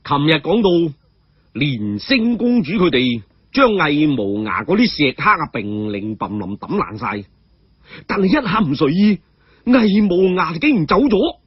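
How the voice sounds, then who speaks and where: Animated Cantonese male storyteller, slightly husky. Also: fairly high pitch, a little slow, no room sound, muffled recording. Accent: Hong Kong Cantonese. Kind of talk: animated oral storytelling of a martial arts novel.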